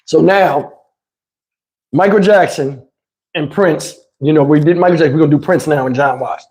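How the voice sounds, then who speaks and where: A man telling personal stories in a casual, animated, slangy way, swearing freely and acting out what people said. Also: on the high side, normal speed. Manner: acts out dialogue and sound effects. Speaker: storyteller host. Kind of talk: animated casual personal storytelling with acted quotes.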